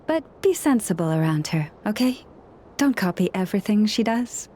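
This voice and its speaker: A woman voicing a gentle young woman who hears animals, speaking softly and patiently with a slightly wry touch. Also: medium pitch, sing-song, normal speed. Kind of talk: game character dialogue.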